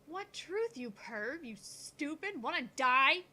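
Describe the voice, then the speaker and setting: A man voicing an indignant, sharp-tongued young tsundere woman in a visual novel, high and scolding. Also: animated, takes it slowly, exaggerated voice.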